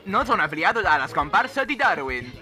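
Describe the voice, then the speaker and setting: Guy doing shifty cartoon cat boy, creepy fake innocence, lively pitch, music under it. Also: a little fast. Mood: falsely cheerful, evasive. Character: evasive, menacing young cartoon cat boy. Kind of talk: parody cartoon fandub acting. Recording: roomy echo, music underneath.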